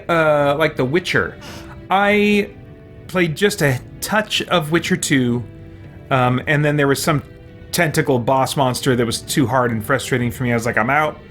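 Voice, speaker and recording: A man's voice: a little high, expressive, normal speed, fairly bright tone. A man giving casual let's-play commentary as a game streamer, thinking aloud as he goes. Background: game music.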